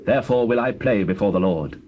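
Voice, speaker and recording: A man's voice: on the low side, lively intonation, fairly quick, dull audio, slight background noise, lightly acted. An actor playing a proud, devout king of Israel in a scripture drama, earnest and declamatory. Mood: defiant, proud.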